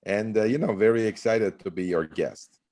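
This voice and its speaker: A man's voice: lowish voice, moderate pace, darkish tone. A middle-aged man from Turkey, a startup CEO talking as a podcast guest in a loose, rambling way with many fillers. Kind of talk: casual interview talk.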